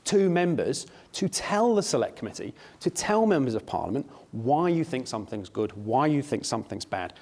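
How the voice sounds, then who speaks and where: Brief: man in a documentary interview, earnest parliamentary official, medium pitch, keen and explanatory. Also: sing-song, normal speed, few pauses, darkish tone. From not New Zealand.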